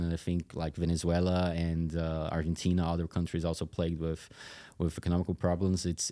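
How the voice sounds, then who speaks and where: A man from Brazil with a Brazilian accent, a native Portuguese speaker, talking casually in an interview and often pausing mid-phrase. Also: low voice, warm tone. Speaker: podcast guest, company executive.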